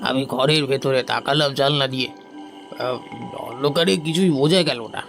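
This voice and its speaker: A man's voice: medium pitch, close to an everyday voice. A man playing a rustic sawmill worker in a horror audio drama, recounting in a hesitant, colloquial way. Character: rustic male sawmill worker, uneasy but bold witness.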